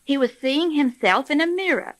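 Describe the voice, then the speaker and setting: A woman's voice: high-pitched, expressive, moderate pace, fairly bright tone, dry recording. A woman playing an earnest private detective who runs an agency, in a 1930s radio drama recording. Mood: keen, reasoning.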